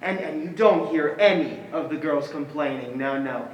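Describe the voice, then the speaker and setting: Earnest dim young dude, some actor on stage, highish voice, really echoey. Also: male voice, moderate pace, far from the mic, lightly acted. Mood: earnest, confident. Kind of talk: comic theatrical stage monologue. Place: stage in a hall. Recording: echoey hall, faint noise.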